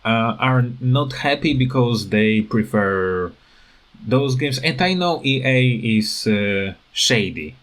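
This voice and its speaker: A tired man who is not a native English speaker, giving a casual unscripted rant in a halting, rambling way that repeats words. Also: mid-range voice, animated, fairly bright tone. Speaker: gaming video creator.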